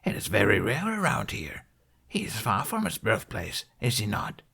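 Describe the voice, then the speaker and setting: A man's voice: fairly low pitch, huge pitch swings, normal speed, gravelly, bright, forceful tone, husky, exaggerated voice. A man voicing a proud, aggressive female dragon with a dramatic, haughty delivery in an audiobook. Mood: surprised. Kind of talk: audiobook character voice.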